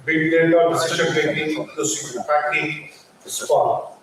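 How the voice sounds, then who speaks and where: A man's voice: on the high side, little pitch movement, measured pace, a crowd in the background. Echoey, distant man chairing a conference session. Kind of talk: formal session announcements. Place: large auditorium. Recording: very echoey hall, distant mic, faint noise.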